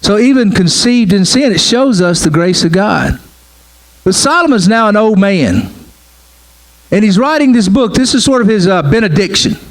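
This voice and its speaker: A man's voice: high voice, animated, normal speed, low-quality recording. A middle-aged man preaching a sermon in a conversational way, repeating his phrases and often saying "man" and "right?". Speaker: pastor.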